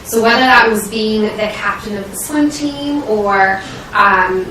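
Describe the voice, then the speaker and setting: A woman's voice: medium pitch, unhurried, bright, forceful tone. A young woman from Washington State with an American accent, giving earnest, hesitant answers as a medical student in an interview. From Washington State, United States. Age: young adult. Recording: roomy echo, distant mic, faint noise.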